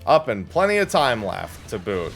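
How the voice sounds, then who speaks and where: A man's voice: lowish voice, very animated, normal speed, creaky, a bit bright, soft background music. A man giving casual, rambling livestream commentary as he plays a game, thinking aloud. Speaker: livestream gamer and host.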